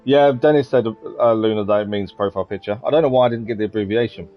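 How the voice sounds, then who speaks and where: A man's voice: fairly low pitch, fast talker. A man livestreaming a video game, reading out on-screen text and chatting with viewers. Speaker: live streamer. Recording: slightly dull sound, game music behind. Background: faint game music.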